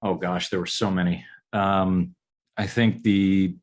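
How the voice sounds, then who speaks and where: Low-pitched man in his forties, unhurried and halting, guest on an interview podcast. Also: little pitch movement. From upstate New York. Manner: halting, many ums and restarts. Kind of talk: casual interview answers.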